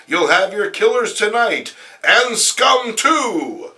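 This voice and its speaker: Wisecracking young superhero performed by a man, breezy and mocking, bright, animated, comic-book reading. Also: high voice, slow, heavily acted. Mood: urgent.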